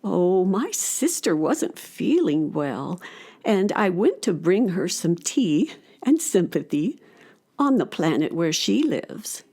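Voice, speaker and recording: A woman playing a gentle, caring older female dragon in a children's radio play, speaking in a tender, earnest storytelling way. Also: on the low side, big pitch swings, takes it slowly, husky, full character voice. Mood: wistful.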